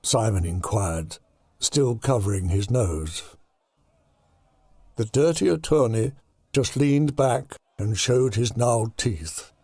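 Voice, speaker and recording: A man narrating a horror audiobook in a deliberate, dramatic storytelling style. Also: medium pitch, lively intonation, mellow, no room sound.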